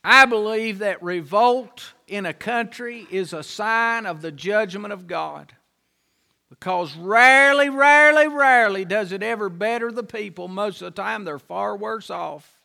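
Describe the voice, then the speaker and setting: A man's voice: high-pitched, unhurried, vocal fry, bright, forceful tone. A man preaching a sermon, with an impassioned, repetitive cadence that rises and falls. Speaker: pastor.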